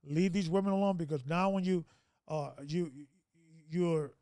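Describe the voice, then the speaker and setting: Man in his fifties, preachy, rambling, high-pitched, dry close sound. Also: normal speed, mellow, clean tone, dry recording. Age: 50s. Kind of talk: casual group discussion.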